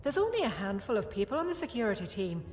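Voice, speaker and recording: A woman's voice: medium pitch, sing-song, fast talker, darkish tone, lightly acted. A woman playing a stern female prison security guard who scolds and explains, with music behind. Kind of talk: fan audio drama acting. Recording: long reverb with music behind.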